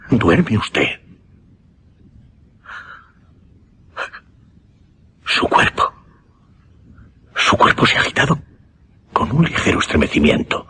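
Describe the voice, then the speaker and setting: A grave Castilian mesmerist in a suspense radio play. His deep voice moves expressively, with deliberate pauses that build dread. The recording has clear background noise. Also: pushed and bright, full character voice. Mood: tense, hushed. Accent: Castilian Spanish. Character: grave mesmerist recounting an uncanny hypnosis case. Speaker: narrator-protagonist. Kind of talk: Spanish suspense radio drama storytelling. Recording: noisy radio drama recording.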